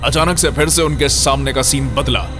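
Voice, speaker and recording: A man's voice: medium pitch, moderate pace. Male horror storyteller over prominent music. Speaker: storyteller, narrator. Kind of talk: scripted horror story narration.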